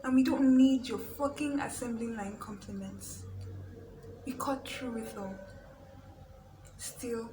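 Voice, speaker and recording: A woman reading spoken-word poetry in a measured, deliberate way. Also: fairly high pitch, fairly even intonation. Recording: echoey room, clear background noise.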